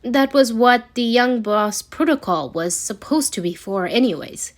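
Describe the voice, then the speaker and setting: Slow, lilting woman reading a story. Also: fairly high pitch, lively intonation. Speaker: amateur fan fiction reader. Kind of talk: scripted story narration, read aloud.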